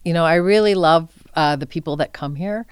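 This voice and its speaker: A woman's voice: deep voice, a little fast, smooth, no echo, studio quality. An older woman speaking as an interview guest, informal and earnest, thinking aloud in short conversational phrases. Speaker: film center executive director, interview guest.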